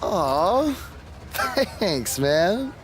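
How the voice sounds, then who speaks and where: Earnest, modest young male wrestler for game cutscenes. Animated intonation, faint background noise. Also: fairly high pitch, very slow, heavily acted. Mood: fond, awkward. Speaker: wrestler, main character. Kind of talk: video game cutscene dialogue.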